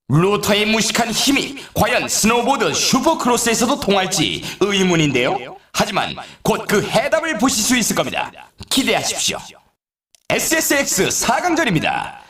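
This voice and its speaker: An excitable male sports announcer for a snowboarding video game. His pitch leaps around with great animation as he draws out names with showy drama. The voice rings with a roomy echo. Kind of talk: scripted sports commentary, event introductions.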